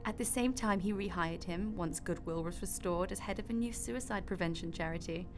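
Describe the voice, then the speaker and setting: A woman's voice: fairly low pitch, brisk, over music, natural voice. A woman in a radio drama playing God as a casual, matter-of-fact, wry mother figure. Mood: breezy, wry.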